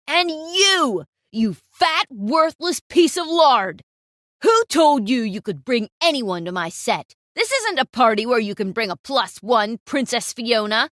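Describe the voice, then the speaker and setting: A woman voicing a rude, insulting male fashion photographer who shouts and sneers at people. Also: on the low side, no room sound, studio quality, pitched below the performer's own voice, close to an everyday voice.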